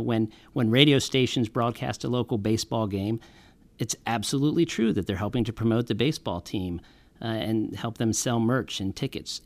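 A man's voice: medium pitch, vocal fry. A man speaking as a guest on a radio show, arguing emphatically and persuasively with everyday examples. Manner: emphatic, persuasive, builds analogies. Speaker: guest on a music industry show. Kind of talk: arguing a case in a radio discussion.

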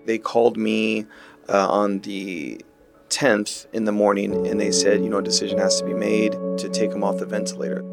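A man giving a personal reminiscence in a halting, conversational way. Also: fairly low pitch, level tone, vocal fry. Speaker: interviewee remembering his late father. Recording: music bed behind speech.

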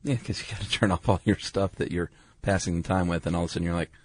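A man's voice: low-pitched, fairly even intonation, quick pace, no room sound. A man with an American accent co-hosting a podcast in casual, laid-back, playful conversation. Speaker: podcast co-host.

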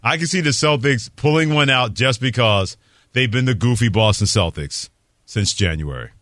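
A man hosting a lively sports radio show, bantering emphatically and repeating words for effect. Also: medium pitch, normal speed, no room sound, studio quality. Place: dry radio studio.

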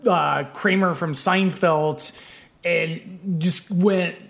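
Man, high voice, podcast host, meandering, casual. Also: steady tone, extremely slow, creaky, a bit bright. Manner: meandering, filler-heavy. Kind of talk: casual chat.

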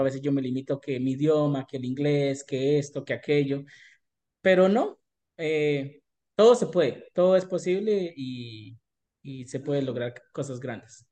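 A man's voice: on the high side, normal speed, darkish tone. A man with a Colombian paisa accent, speaking earnestly and encouragingly as a guest in a casual interview. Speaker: podcast guest, small business owner.

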